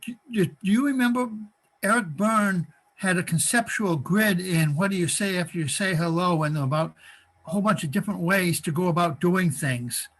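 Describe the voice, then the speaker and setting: An older man taking part in a workshop, chatting casually over a video call, halting and repeating words with frequent chuckles. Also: high voice, fairly bright tone, low-quality recording. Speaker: workshop participant. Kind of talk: casual chat.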